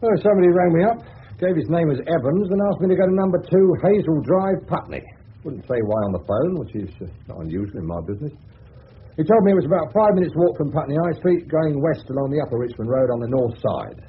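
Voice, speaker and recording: Private eye, English, male actor. Cocky, shifty, slangy, very animated delivery; radio play on a tinny, hissy old recording. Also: on the high side, fast, close to an everyday voice. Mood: defensive. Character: brash, sarcastic, shifty male suburban private detective. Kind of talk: 1970s radio drama acting.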